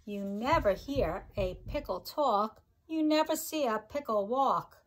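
Slow-paced woman reading to children. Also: on the high side, animated, rough recording. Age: middle-aged. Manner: slow, deliberate, sing-song delivery addressed to young children. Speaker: teacher reading a picture book aloud to children. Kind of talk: expressive children's read-aloud with chatty asides. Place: kitchen-like room at home, indoors.

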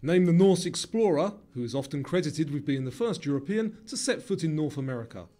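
A man's voice: a little high, animated, brisk, mellow, faint music behind. A man reading scripted quiz questions and answer options as a narrator.

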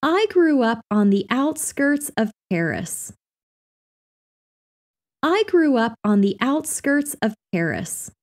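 Slow, lively woman reading practice phrases. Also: on the high side. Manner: clear, carefully enunciated delivery. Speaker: English lesson reader.

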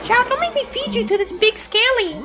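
A woman's voice: very high voice, lively intonation, fairly quick, loud music behind the voice. A woman voicing a crude, aggressive cartoon animal hero who swears and taunts in an improvised comedy game fandub. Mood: irritated, defiant.

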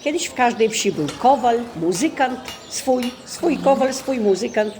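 A woman's voice: fairly high pitch, expressive, a little slow, darkish tone, slight background noise. A woman aged sixty or over, casually sharing memories. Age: 60s or older. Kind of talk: casual reminiscence.

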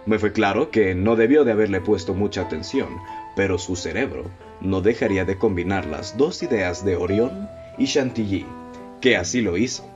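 A man voicing a composed, curious gentleman who narrates and reads the newspaper, speaking in a calm, measured way. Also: on the low side, close to an everyday voice. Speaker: narrator and detective companion. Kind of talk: amateur radio drama acting. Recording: dull lo-fi with soft music.

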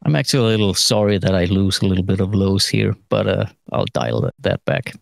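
A middle-aged man with a fairly low voice talks through a studio task. He pauses and muses as he goes, in an informal tutorial way. The sound is dry, with little room. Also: lively intonation, vocal fry, dry recording. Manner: halting, musing, trailing phrases. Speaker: mixing engineer giving a lesson. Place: recording studio, seated at desk.

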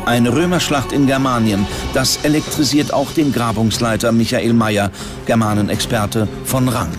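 A man's voice: mid-range voice, steady tone, normal speed. A man reading scripted documentary narration as a narrator. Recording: music behind the voice. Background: background music.

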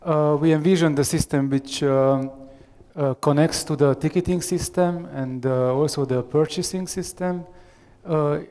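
A man in his thirties with a Romanian accent gives a live software demo on a headset mic, hesitant and full of fillers. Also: a little high, fairly even intonation, a little slow. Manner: hesitant, many fillers and restarts. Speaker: software architect giving a demo. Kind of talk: live tech demo presentation. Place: large conference room with audience. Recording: long reverb of a large hall.

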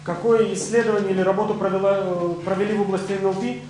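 A young man interprets at a seminar in a high-pitched voice, delivering each phrase quickly. The room rings with strong echo as he relays the talk bit by bit. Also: fairly even intonation, darkish tone. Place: seminar room with seated audience. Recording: very echoey room, noisy.

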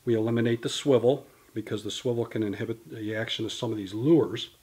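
A man's voice: medium pitch, fairly even intonation, a little fast, a little mellow, husky. A man in his fifties walks through fishing gear with a patient, detailed, explanatory manner. He talks like a guest on a studio interview, recorded on a camera mic indoors in front of a banner. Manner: detailed, explanatory, gesturing with products in hand. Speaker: fishing tackle company guest.

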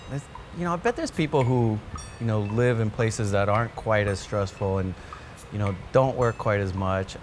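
A man, a chef giving a documentary interview in a restaurant dining room, speaking in a reflective, halting way with frequent restarts. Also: lowish voice, a little hiss or hum. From born in Colombia, raised in Queens, New York. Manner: reflective, halting, many restarts and "you know" fillers. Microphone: off-camera interview mic, seated close framing. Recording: music bed under the voice.

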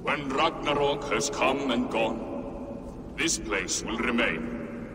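Man playing a slow, echoing ancient oracle. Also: medium pitch, close to an everyday voice. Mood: solemn. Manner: slow, solemn, oracular. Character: ancient wise male stone-headed keeper of the well. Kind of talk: dramatic game cutscene acting. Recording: cavernous echo, distant, noisy ambience.

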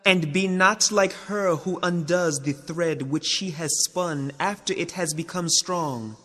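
A man reads religious text as a scripted audiobook. His voice is high for a man, and he goes very slowly, with a measured, solemn delivery. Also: sharp tone. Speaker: narrator of translations and hadith.

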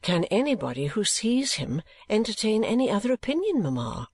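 A woman reading an audiobook, voicing a gentle, compassionate young woman who speaks softly and earnestly. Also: medium pitch, big pitch swings, normal speed, husky, dry recording, voice pushed up. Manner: soft, earnest, questioning.

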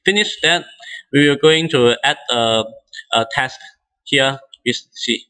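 Bright-voiced man giving a halting software tutorial. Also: mid-range voice, smooth, low-quality recording. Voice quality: non-native English speaker. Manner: halting, hesitant phrasing with frequent restarts. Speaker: instructor demonstrating software.

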